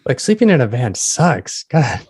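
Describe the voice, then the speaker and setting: A man's voice: medium pitch, very animated, normal speed, darkish tone, slightly rough, dry recording, studio quality. A man hosting a podcast, chatting casually and asking interview questions.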